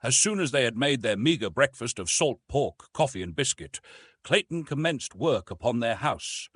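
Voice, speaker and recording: A man narrating classic adventure fiction as an audiobook, with dramatic, deliberate phrasing. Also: medium pitch, animated, a bit bright, breathy edge, no room sound.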